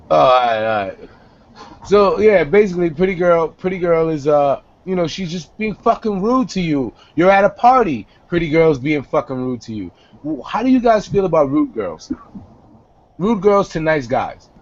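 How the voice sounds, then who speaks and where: A young man, hosting a casual video-call chat, animated and profane, swinging between a low voice and a high one. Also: normal speed, lo-fi sound. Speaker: host. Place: small home room indoors. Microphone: headset on a video call.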